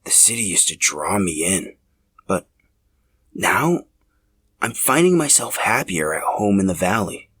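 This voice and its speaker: A man's voice: low-pitched, sing-song, a little slow, long pauses, a bit bright, slightly rough, full character voice. A man voicing a shy, brooding young man in a narrated story, hesitant and confiding. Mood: tender, hesitant.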